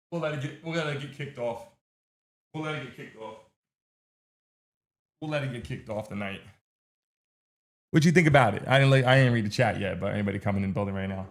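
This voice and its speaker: A man hosting a sports livestream, chatting casually with viewers in slang, cursing and repeating his phrases. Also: mid-range voice.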